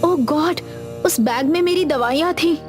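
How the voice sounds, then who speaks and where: A woman's voice: high voice, moderate pace, over music, natural voice. A woman voicing female characters in a horror audio story, with dramatic, emphatic delivery. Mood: concerned. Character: women of a horror tale, anxious and accusing.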